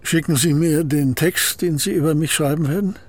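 A man's voice: on the high side, moderate pace, lightly acted. A man playing a curt, guarded old painter. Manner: terse, clipped replies. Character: aging reclusive male painter, curt and guarded. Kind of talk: radio drama acting.

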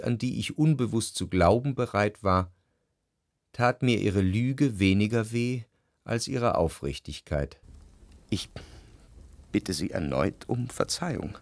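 Brooding jealous gentleman narrator, radio play, lowish voice, formal and reflective, super dry sound. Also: expressive, a little slow, long pauses, no room sound. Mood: pained. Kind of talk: literary radio drama narration in first person.

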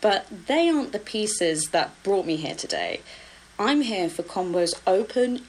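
A woman's voice: mid-range voice, a little hiss or hum, low-quality recording. A woman working as a radio reporter, describing things and interviewing on location. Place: artist's studio in Paris suburbs.

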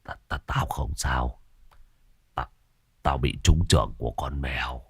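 A man voicing a gravely wounded old sorcerer who talks in weak, halting, gruff bursts. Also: rumbling low, no echo, clean studio sound, pitched below the performer's own voice, exaggerated voice.

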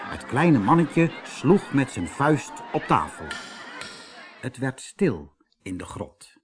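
A man narrating a fairy tale for children as an audiobook reader, in an expressive storytelling manner. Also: mid-range voice, slow, soft background music.

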